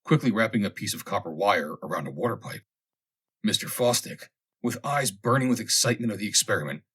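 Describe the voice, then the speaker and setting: A man with a husky voice reading a humorous vintage story as an audiobook narrator, in a theatrical, deliberate way with emphatic phrasing. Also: fairly low pitch, lively intonation, fairly bright tone.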